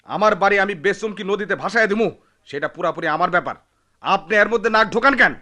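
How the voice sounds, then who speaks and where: An impulsive young-hearted village dreamer with a rural Bangladeshi accent. His voice sits a little high in a very dry recording. He speaks with theatrical passion and repeats himself insistently, in the manner of a radio play. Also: fairly quick, sharp tone, no room sound. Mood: angry. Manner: impassioned, pleading, repeats himself. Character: feverish, lovestruck village man, impulsive and hot-headed. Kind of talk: Bengali radio drama acting.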